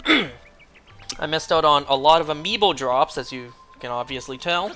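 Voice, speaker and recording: A young man streaming a game, chatting casually and rambling, clearing his throat often as he is losing his voice. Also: on the high side, unhurried, sharp tone, soft background music.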